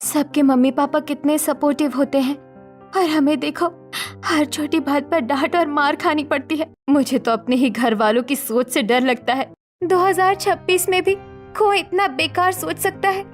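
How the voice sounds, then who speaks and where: A woman's voice: high voice, moderate pace, soft background music, lightly acted. A woman voicing a young college girl in a cartoon story, a stifled daughter who speaks earnestly and plaintively. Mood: resentful, sad. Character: young college girls, stifled, timid yet resentful daughters.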